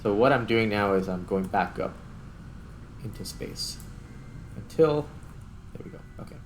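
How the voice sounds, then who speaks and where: A man's voice: fairly low pitch, expressive, a little slow, slight background noise. A man giving casual gameplay commentary, thinking aloud in a tentative, self-questioning way as he narrates each step. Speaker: gaming video host.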